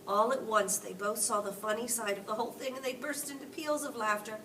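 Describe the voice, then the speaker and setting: A woman reading a children's book aloud in an expressive, chatty storyteller style. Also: a little high, a little fast. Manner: prompting with questions. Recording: distant mic, clearly noisy room.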